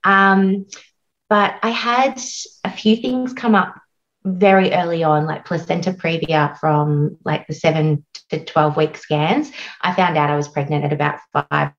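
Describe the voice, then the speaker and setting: A woman's voice: low voice, steady tone, measured pace, highs cut off. An Australian woman in her thirties, a guest on a podcast, telling her story in a chatty way with lots of "like" and "um". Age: 30s. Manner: warm, chatty, frequent "like" and "um". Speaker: guest, postpartum doula. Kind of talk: casual interview storytelling.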